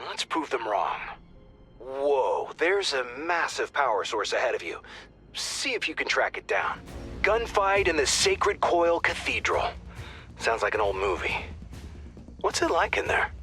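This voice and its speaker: A man's voice: mid-range voice, big pitch swings, measured pace, pushed and bright, faint music behind. An actor playing a wry male mission handler who talks the squad through operations in a conversational tone.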